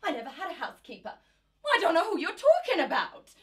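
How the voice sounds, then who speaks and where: Cast an actress as a hysterical mother in court, high shrill gasps, distant mic. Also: very animated, slow pace, sharp tone, full character voice. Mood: outraged denial. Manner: shrill gasping outbursts, sobbing confession. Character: frantic mother denying guilt on witness stand. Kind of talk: audition scene reading.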